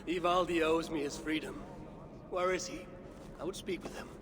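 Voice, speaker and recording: An actor playing a proud Norse god, imperious and blunt, with formal archaic phrasing in a video game drama. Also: male voice, a little high, steady tone, normal speed, a crowd in the background, close to an everyday voice. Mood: commanding. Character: proud, commanding male Norse god, lord of Asgard.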